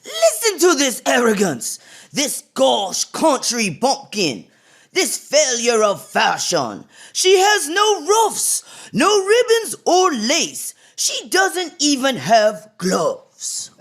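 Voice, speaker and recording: A pompous aristocratic duellist of radio theatre. The high voice is husky and swoops extravagantly, dragging out each sneer with blustering indignation. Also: female voice, huge pitch swings, slow, exaggerated voice. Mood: sneering, indignant. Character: haughty, blustering fashion-obsessed aristocrat duellist.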